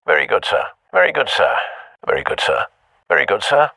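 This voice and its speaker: Here is a loyal older butler from a video game. The voice is low and husky with a forceful edge. His delivery is formal and droll, rising and falling widely. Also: wildly swooping pitch, fairly quick, no room sound, heavily acted. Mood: formal, wry. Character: dry, loyal older male butler and confidant.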